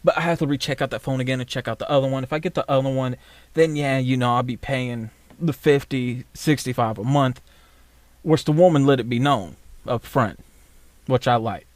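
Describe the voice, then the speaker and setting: A man from the United States delivers a rambling vlog rant in a blunt, profane, straight-talking way, full of asides and fillers. His voice sits at an ordinary middle pitch, and the sound is dry and close, with no room echo. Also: brisk. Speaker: vlogger. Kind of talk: casual ranting monologue.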